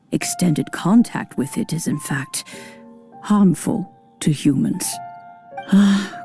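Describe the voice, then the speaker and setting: A woman playing an elderly, kindly immortal in a video game, speaking in formal, old-fashioned phrases with gentle authority. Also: deep voice, creaky, exaggerated voice. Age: older. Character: elderly kindly female adeptus, nostalgic and gently commanding. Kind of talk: scripted video game acting.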